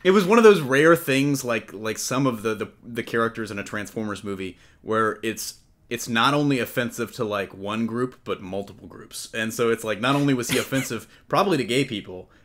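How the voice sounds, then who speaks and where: A man's voice: medium pitch, a little fast, a bit bright. A man hosting a casual panel chat about comics, with a wry, joking way of talking. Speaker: podcast host.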